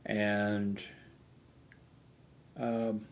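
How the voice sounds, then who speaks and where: A man's voice: on the low side, monotone, drawn-out words, a little hiss or hum. A man giving a casual, unscripted talk as an amateur radio hobbyist, rambling and hesitant, often losing his thread. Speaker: amateur radio hobbyist hosting a video channel.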